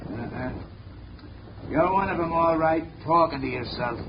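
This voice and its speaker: An actor playing a gruff, muscle-bound male asylum nurse, talking tough and threatening in 1940s radio style. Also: on the high side, a little slow, close to an everyday voice. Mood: surly. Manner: tough-guy street talk, threatening. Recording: muffled old radio recording with hiss.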